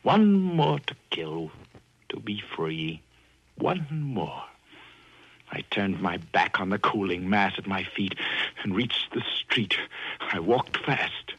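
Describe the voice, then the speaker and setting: An actor narrating as a cold, obsessed murderer in a 1940s radio drama, brooding and menacing. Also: male voice, fairly low pitch, very animated, slow pace, a bit bright, slightly rough, dull audio, heavily acted. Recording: music behind old radio recording.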